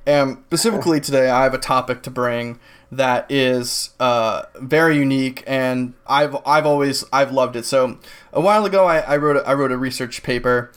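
A man co-hosting a political talk show, speaking in a loose, rambling way full of fillers and restarts. His voice is clean and resonant, at an ordinary pitch for a man. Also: moderate pace, smooth. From New England, United States. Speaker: podcast co-host. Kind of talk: casual political discussion.

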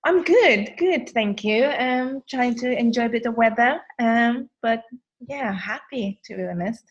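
A woman's voice: on the high side, lively intonation, unhurried, breathy edge, dull audio. A woman from Sweden whose first language is Hakka, a lash artist speaking as a podcast guest, talking freely with many fillers and non-native grammar. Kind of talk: podcast interview.

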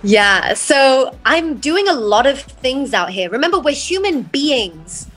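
A woman's voice: high-pitched, big pitch swings, takes it slowly, a bit bright, faint music behind. A woman from England and the United States, talking earnestly and reflectively as a guest in a conversational interview. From born in England, raised there and in the United States. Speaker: podcast guest, mindset coach and yoga teacher.

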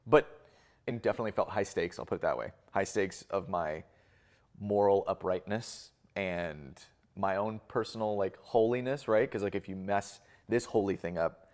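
A man in his twenties talks in a low voice. He is casual and reflective, breaking off his own sentences in an informal, halting interview style. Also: a little mellow. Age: 20s.

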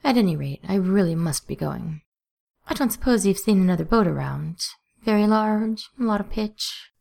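A woman's voice: mid-range voice, animated, moderate pace, gravelly, a little mellow. A woman voicing a fussy male angel in dove form, prim and stammering. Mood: hurried.

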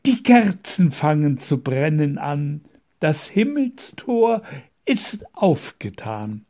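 A man voicing the gentle Christ Child in a recited Christmas poem, calling out urgently. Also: fairly high pitch, big pitch swings, takes it slowly, exaggerated voice.

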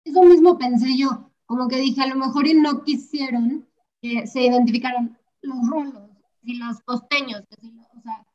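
A woman's voice: high voice, fairly even intonation, moderate pace, a little mellow. A woman from Mexico in a casual film chat, talking chattily and hedging with fillers. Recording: slightly dull, lo-fi sound.

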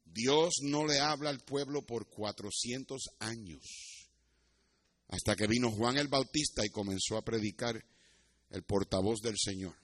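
A man's voice: mid-range voice, unhurried, fairly bright tone. A man with a Puerto Rican accent preaching as a pastor, teaching scripture and telling personal stories in a didactic way, with occasional asides in English. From Puerto Rico. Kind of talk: sermon with Bible teaching and personal anecdotes.

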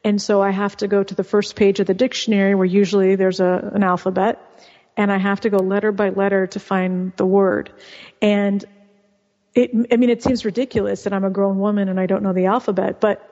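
A woman's voice: mid-range voice, level tone, a little fast, a little mellow. A woman guest and author whose first language is Russian, explaining things in a chatty, casual interview manner with frequent restarts. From California. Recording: muffled, with long reverb.

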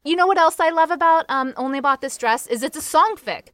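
A woman with a high-pitched voice hosts a casual fan podcast. She talks in an enthusiastic, gushing, conversational way, and the sound is dry with very little room echo. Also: animated, brisk, fairly bright tone. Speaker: podcast host interviewing a guest.

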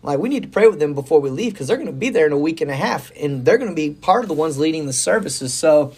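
A hoarse middle-aged man, a pastor co-hosting a podcast, talking in a rambling, folksy and enthusiastic way about faith and church news. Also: on the high side, animated, quick pace, a little mellow. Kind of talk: casual faith talk.

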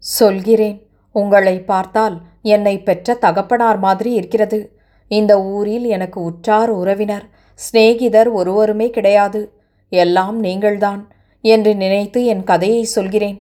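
A woman's voice: medium pitch, steady tone, unhurried, mellow, natural voice. A woman voicing a distraught, remorseful young woman who speaks in a sorrowful, pleading way.

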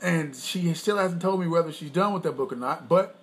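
A man co-hosting a podcast with his wife, talking in a loose, boastful, rambling way and clearing his throat often. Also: a little high, fairly quick. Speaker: podcast co-host. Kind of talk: casual chat.

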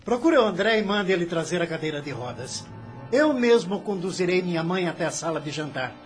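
An actor playing a domineering adult son in a radio drama, commanding then pleading. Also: male voice, high-pitched, lively intonation, brisk, over music.

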